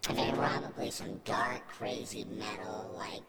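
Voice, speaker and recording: A man's voice: on the low side, level tone, measured pace, whispered. A man hosting a podcast in a casual, rambling, conversational way. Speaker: podcast host.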